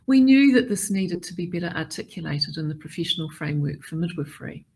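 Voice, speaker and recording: Middle-aged woman, keynote speaker, husky low voice, deliberate and measured. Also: expressive, a little mellow. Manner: measured, deliberate delivery with listed points. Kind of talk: formal prepared keynote address.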